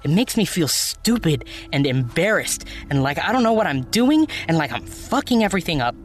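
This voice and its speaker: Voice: mid-range voice, big pitch swings, moderate pace, soft background music, lightly acted. An actor playing an earnest, hurt young activist in an audio drama, pleading in a casual, sweary, naturalistic way. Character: earnest, hurt young activist who runs a protest blog.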